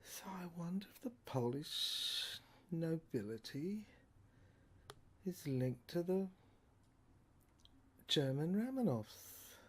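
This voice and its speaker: A woman playing a rambling, sardonic lab technician who lectures haltingly in an audio drama, repeating and correcting herself and asking rhetorical questions. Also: low-pitched, very animated, breathy edge, full character voice. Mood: musing, halting. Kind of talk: improvised-sounding lecture monologue in an audio drama.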